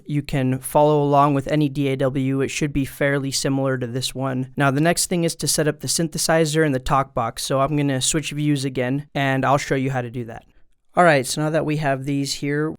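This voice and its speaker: A man with a smooth, clean voice at an average pitch walks viewers through instructions. He speaks informally and straight to the listener, step by step, into a studio microphone. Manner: informal, talking directly to viewers. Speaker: tutorial presenter. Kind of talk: casual step-by-step instructional explanation. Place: indoors at a desk. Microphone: studio microphone through an audio interface.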